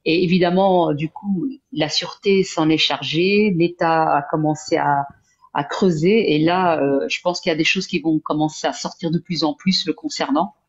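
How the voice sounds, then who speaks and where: A woman from Belgium, a guest in a live interview, talking in an earnest, halting way with many restarts. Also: sits low. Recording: dull lo-fi sound.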